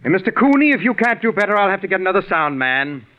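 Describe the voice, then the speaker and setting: An actor playing a pompous, coldly sarcastic, tyrannical radio director in 1940s radio drama style, with a haughty, deliberate, condescending manner. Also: male voice, high-pitched, very animated. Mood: exasperated. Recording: muffled, phone-thin 1940s radio recording.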